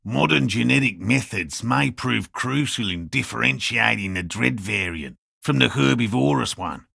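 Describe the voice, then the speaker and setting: Gravelly old cryptozoologist, pedantic and proud. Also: male voice, medium pitch, expressive, normal speed, sharp tone, dry recording, clean studio sound, full character voice. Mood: dry, defensive. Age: older. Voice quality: gravelly, throaty. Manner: pedantic, curt, precise academic diction. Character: proud, prickly old cryptozoologist, devoted field researcher. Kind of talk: video game character dialogue.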